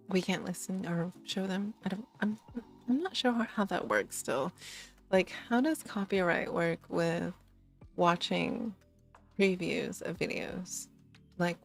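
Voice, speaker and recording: A tired woman with a slightly raspy low voice, chatting in a sleepy, giggly, rambling way as a streamer on a late-night stream. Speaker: VTuber streamer.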